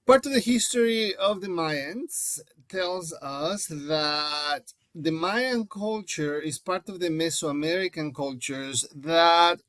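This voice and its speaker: A man's voice: on the high side, a bit bright, no room sound. A man from Mexico with a Mexican accent and Spanish as his first language, giving an informal, explanatory lecture and addressing his class directly. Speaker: teacher.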